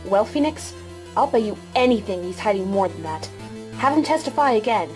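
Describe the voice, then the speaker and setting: A woman's voice: medium pitch, expressive, normal speed, soft background music, lightly acted. A woman playing an assured, supportive mentor lawyer in a fan-dubbed courtroom drama, composed and coaching. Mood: approving. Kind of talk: fan dub courtroom game acting.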